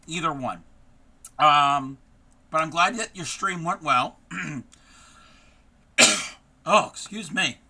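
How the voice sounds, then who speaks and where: Hoarse man with a very bright voice. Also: a little high, takes it slowly, pauses often. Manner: chatty, greets viewers by name, frequent ums. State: hoarse, losing his voice. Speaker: craft live-streamer host. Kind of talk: casual live-stream chat.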